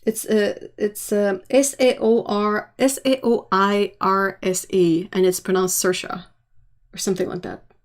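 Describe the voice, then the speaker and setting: A woman hosting a casual livestream, chatting while she paints and answering viewer comments, sometimes dropping to a soft, quiet voice. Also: medium pitch, steady tone, unhurried. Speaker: livestream host.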